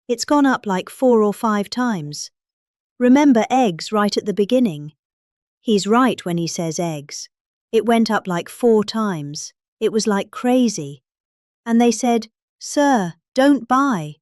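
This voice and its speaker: British woman reading with very lively intonation. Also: mid-range voice, no echo, clean studio sound. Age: middle-aged. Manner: theatrical, exaggerated emphasis. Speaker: reader of a political speech. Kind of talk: dramatic reading of a rambling political speech.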